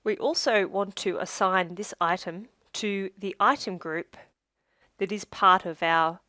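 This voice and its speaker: A woman narrating a scripted software tutorial as a demonstrator, in a measured, step-by-step instructional way. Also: medium pitch, steady tone, no room sound, clean studio sound.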